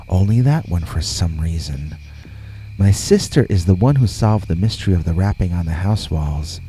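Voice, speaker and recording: A man reading a scripted first-person scary story in a measured, deliberate way, with pauses between phrases. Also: low voice, warm tone, a little hiss or hum. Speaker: horror story narrator.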